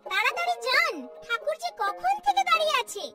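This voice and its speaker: A performer voicing a dutiful young daughter-in-law in a family cartoon, meek but quietly stung. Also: extremely high pitch, big pitch swings, fairly quick. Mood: obliging. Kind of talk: cartoon voice acting. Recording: distant voice under loud music.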